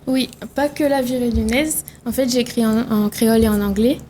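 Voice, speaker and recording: A woman's voice: on the high side, fairly quick, warm tone, a little hiss or hum. A young woman from Réunion, a musician guest answering interview questions thoughtfully, with fillers and restarts. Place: TV studio.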